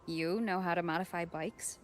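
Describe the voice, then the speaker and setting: A woman's voice: fairly low pitch, moderate pace, slight background noise, natural voice. An actress playing a curious young female traveler in a video game, asking short questions. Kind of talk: scripted video game story dialogue.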